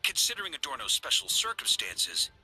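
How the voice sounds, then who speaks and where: Bright, forceful knight grand master. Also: male voice, mid-range voice, huge pitch swings, fairly quick, faint music behind, full character voice, rough recording. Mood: breezy authority. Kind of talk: anime game voice acting.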